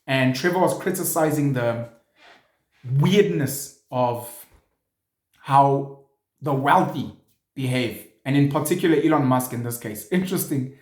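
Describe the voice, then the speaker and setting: South African man talking in an echoey room. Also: mid-range voice. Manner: earnest, reflective, with many fillers. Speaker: show host. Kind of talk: casual monologue to camera.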